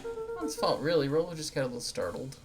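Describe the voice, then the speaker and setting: A woman as an earnest young boy, lively pitch. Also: quick pace, music in the background. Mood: placating.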